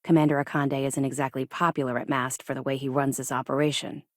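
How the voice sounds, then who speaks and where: A woman's voice: rumbling low, little pitch movement, fast, no room sound. A woman voicing a stern, seasoned space-navy officer and reformed ex-pirate in a video game, with a clipped, authoritative, dry delivery. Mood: measured, serious. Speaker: second-in-command military officer in a sci-fi game.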